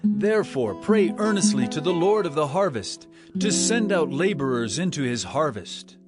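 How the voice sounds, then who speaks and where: A man's voice: a little high, very animated, moderate pace. An actor playing an authoritative male teacher and healer, declaiming earnestly in a dramatized scripture reading over music. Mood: earnest instruction.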